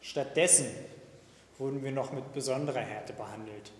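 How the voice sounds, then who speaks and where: A young man voicing a weary young Ukrainian prisoner of war in a slow, halting testimony reading. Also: mid-range voice, slight background noise, close to an everyday voice. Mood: grave. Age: young adult. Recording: echoey hall recording.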